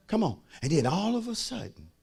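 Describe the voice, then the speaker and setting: Raspy, fervent American male preacher. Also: high-pitched, huge pitch swings, brisk. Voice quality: raspy, gravelly. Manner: folksy, emphatic, repeats phrases, swings from hushed asides to shouting. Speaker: pastor preaching to a congregation. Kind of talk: fervent sermon.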